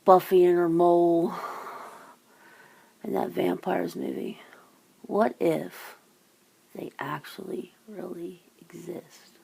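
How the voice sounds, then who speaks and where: A woman with a creaky, gravelly voice chatting casually in an ASMR style, musing and rambling as host. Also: lowish voice, steady tone, slow, long pauses, dark tone.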